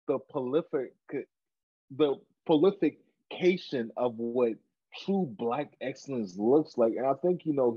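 A man's voice: medium pitch, husky, dry recording, dull audio. A man from Cameroon co-hosting a podcast, talking earnestly in casual chat and often repeating words as he builds a point. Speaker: podcast co-host.

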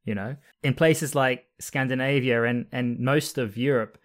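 A man whose Australian accent has been worn down by years abroad hosts a relaxed interview over a video call. He talks in a measured way, trading stories and asking easy follow-up questions. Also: mid-range voice. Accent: mixed Australian. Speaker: podcast host.

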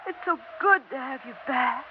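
A woman's voice: sits high, lively intonation, moderate pace. An actress playing an anxious, clinging older wife who pleads with her husband, in a 1960s radio drama. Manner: pleading, emotional, insistent. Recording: dull old radio broadcast with faint hiss.

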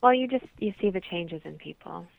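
A woman's voice: fairly low pitch, animated, brisk, creaky, no echo. A woman interview guest explaining her holistic practice conversationally over a thin, phone-like line.

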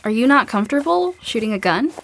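A woman plays a tough young female survivor in a post-apocalyptic radio play. Her voice sits a little high and her delivery is blunt and natural, hard-edged over an anxious core. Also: very animated, fast talker, fairly bright tone, exaggerated voice. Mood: firm. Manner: blunt, no-nonsense. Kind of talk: naturalistic audio drama acting.

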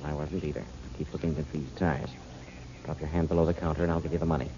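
An actor playing Blake, a secretive schemer paying off a gunman in hushed tones, in a 1940s radio drama. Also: a bass voice, steady tone, fast. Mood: hushed, urgent. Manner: hushed, urgent. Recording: noisy dull 1940s radio recording.

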